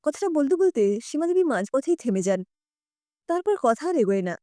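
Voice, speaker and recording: A woman's voice: high voice, very animated, fairly quick, warm tone, no room sound, clean studio sound. A woman narrating a scripted story expressively as a storyteller.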